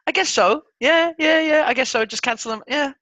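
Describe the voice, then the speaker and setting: Bright-voiced man chatting about football. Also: very high voice, fairly quick, no room sound. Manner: repeats words and phrases, agreeable. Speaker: football podcast co-host. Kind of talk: casual chat about football.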